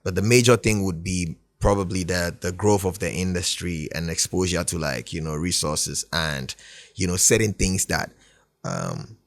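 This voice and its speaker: A man's voice: low-pitched, animated, moderate pace, no echo. A young man from Ghana, a musician guest, talking in a laid-back, rambling way and often asking "do you know what I mean". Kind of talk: casual interview chat.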